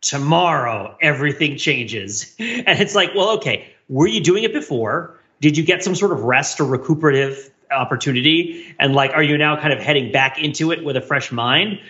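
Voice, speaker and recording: A man's voice: on the high side, expressive, moderate pace, hardly any pauses, pushed and bright, highs cut off. A man co-hosting a casual podcast, thinking aloud in an analytical, discursive way. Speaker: podcast co-host. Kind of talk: casual panel chat.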